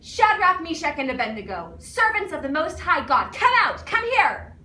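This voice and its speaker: A woman's voice: high-pitched, big pitch swings, normal speed, sharp tone, voice pushed up, full character voice. A woman playing a proud, wrathful ancient king in a dramatic, declamatory recitation, commanding and emphatic. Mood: urgent shouting. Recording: distant mic in noisy, echoey room.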